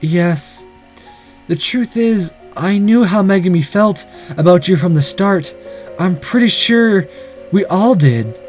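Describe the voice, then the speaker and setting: A man voicing a shy, defensive teenage girl with a soft, hesitant manner in a visual novel. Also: sits high, lively intonation, takes it slowly, faint music behind. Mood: sheepish.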